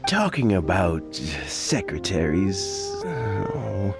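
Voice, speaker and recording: A man playing a kindly, modest old gentleman in a video game, with a genial, rambling, hesitant manner. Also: sits low, wildly swooping pitch, drawn-out words, creaky, heavily acted. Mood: apologetic, hesitant. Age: older. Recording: music loud behind the voice.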